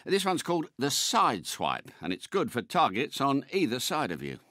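An actor playing a suave, courteous British spy car in a video game, a polite and unflappable mentor with refined, precise phrasing. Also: male voice, medium pitch, wildly swooping pitch, normal speed, sharp tone, dry recording, clean studio sound. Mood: encouraging. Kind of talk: scripted game character voice lines.

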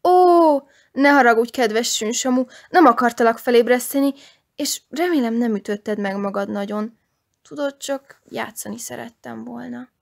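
A woman voicing a cheerful, lively girl in a children's story, speaking in a bright, friendly and eager way. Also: on the high side, gravelly, pitched above the performer's own voice. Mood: apologetic, kind. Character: cheerful, lively girl who will not stay sad. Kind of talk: storybook character voice.

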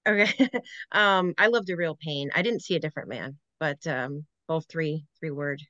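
A woman's voice: low voice, moderate pace, a bit bright, no echo. A woman in her thirties interviewing casually over a video call with dull, lo-fi sound. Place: book-lined room indoors.

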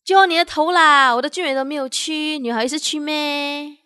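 A young woman with a Malaysian accent playing an excitable, feisty university graduate in a radio drama. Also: high voice, moderate pace, no echo, studio quality. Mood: annoyed. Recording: music and crowd behind voice.

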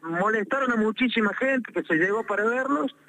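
A man's voice: sits high, animated, fairly quick, sharp tone. A man with an Argentine accent, a mayor calling in to a talk show, making an insistent, aggrieved complaint and repeating points for emphasis, heard over a phone line. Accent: Argentine Spanish. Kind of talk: phone interview.

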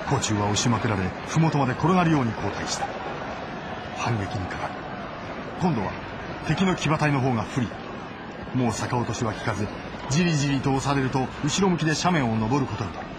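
A man's voice: mid-range voice, background noise. A man delivering dramatic scripted narration of a story as its narrator. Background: loud music.

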